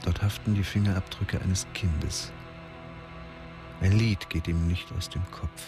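A male narrator of a radio drama with a very deep voice. He speaks slowly in short, sombre sentences. Soft music runs beneath. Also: fairly even intonation. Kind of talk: scripted present-tense narration.

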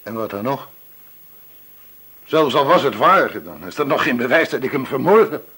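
Casting: guarded suspect in radio crime play, hoarse-edged, lively pitch, evasive and protesting. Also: male voice, normal speed. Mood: defensive. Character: evasive male murder suspect, defensive under questioning. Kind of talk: radio drama dialogue.

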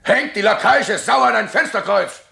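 An actor playing a cruel, bombastic rebel captain in a theatrical radio play, with a bellowing, pompous, menacing delivery. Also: male voice, high-pitched, normal speed, creaky, heavy background noise. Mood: bombastic, gloating.

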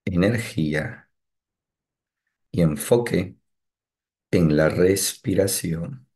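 A man leading a guided meditation, giving calm instructions in short phrases with long pauses between them. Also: low-pitched, breathy edge.

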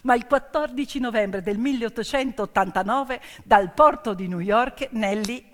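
A woman storyteller performing a theatrical narration with emphatic delivery and deliberate dramatic pauses. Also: on the high side. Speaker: stage storyteller and actress. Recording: piano music behind the voice. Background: piano accompaniment.

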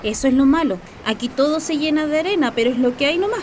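High-voiced, plain-spoken Chilean mother played by an actress. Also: expressive, quick pace, darkish tone. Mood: homely. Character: plain-spoken, resigned working-class mother at a picnic. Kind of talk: Spanish-language radio drama acting. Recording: light outdoor ambience noise.

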